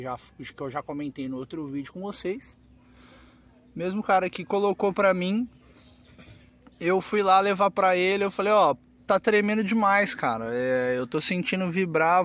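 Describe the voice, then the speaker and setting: A Brazilian man talking casually and with lots of slang, as a motorcycle vlogger filming outdoors. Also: sits high. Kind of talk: casual vlog talk to camera, slangy. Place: outdoors on a quiet roadside by trees.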